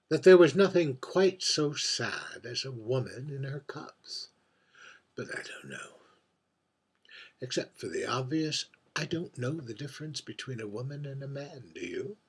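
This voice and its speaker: A man playing a world-weary, wry drag performer in a theatrical monologue, confiding and deliberate, moving between hushed murmurs and emphatic phrases. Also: medium pitch, animated, husky. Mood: melancholy, philosophical. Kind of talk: theatrical dramatic monologue read by its author.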